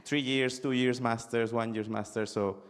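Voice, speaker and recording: A young man, a student on a panel, telling an example in a halting way full of fillers and restarts. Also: mid-range voice, fairly even intonation. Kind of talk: panel discussion anecdote.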